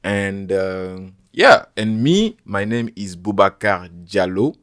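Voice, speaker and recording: A man hosting a casual podcast interview, talking in halting bursts with many restarts and some teasing. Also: fairly low pitch, lively intonation, fairly bright tone. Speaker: podcast host.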